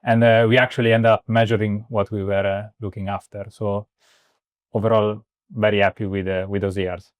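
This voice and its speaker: A man's voice: fairly low pitch, little pitch movement, moderate pace, no echo. A man from Italy in his thirties answering interview questions, hesitant, with many fillers and restarts. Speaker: guest, startup co-founder.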